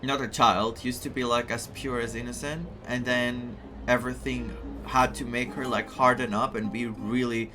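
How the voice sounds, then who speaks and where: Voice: medium pitch, level tone, normal speed, few pauses. A streamer from Greece giving casual gameplay commentary in a chatty, reactive way with hesitant phrasing. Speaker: gaming streamer. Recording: game music under the voice.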